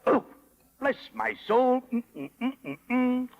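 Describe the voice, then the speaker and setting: A man playing a sly, hammy fox swindler with a raspy voice, pompous and falsely kind, in a 1930s radio drama. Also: very high voice, animated, drawn-out words, phone-quality sound, full character voice. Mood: mock concern. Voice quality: gravelly, raspy. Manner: theatrical, pompous, cackling. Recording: old 1930s radio recording.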